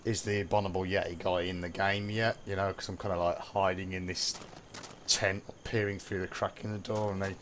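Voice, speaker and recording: Deep-voiced hoarse man with a cold. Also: flat delivery, a little fast, slight background noise. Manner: chatty, self-mocking, rambling. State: hoarse from a cold, losing his voice. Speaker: gameplay guide presenter. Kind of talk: casual game commentary. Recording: loud game ambience behind. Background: game sounds, animal noises, rural outdoor ambience.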